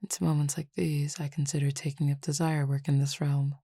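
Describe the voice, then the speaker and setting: A woman playing a calm, teasing Cupid roommate with formal, measured speech in an intimate roleplay audio drama. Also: low voice, slightly rough, no echo, clean studio sound. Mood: teasing, fond.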